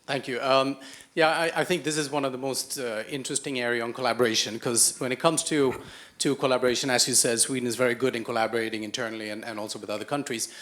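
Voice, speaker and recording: Guy on a panel, normal pitch, chatty and persuasive. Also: fairly even intonation, quick pace, fairly bright tone. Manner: conversational and persuasive. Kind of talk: panel discussion.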